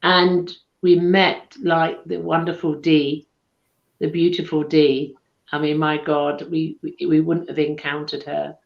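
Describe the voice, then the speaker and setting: Posh-sounding English woman, low voice. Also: flat delivery, measured pace, gravelly. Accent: southern English. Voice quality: posh, calls people darling. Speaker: medium and chat show co-host. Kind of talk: casual live chat. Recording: echoey room, dull lo-fi sound.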